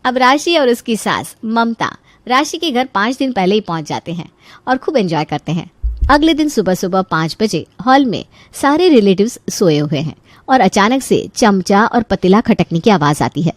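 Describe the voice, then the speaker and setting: A woman narrating a scripted animated family story as its storyteller. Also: medium pitch, no room sound.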